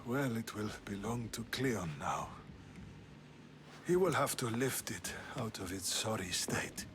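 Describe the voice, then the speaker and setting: Slow, ailing old statesman. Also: on the low side, a bit bright, slight background noise. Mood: weary, ashamed. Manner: grave, labored, halting delivery. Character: ailing, proud, weary Athenian statesman, older man. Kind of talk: video game cutscene acting.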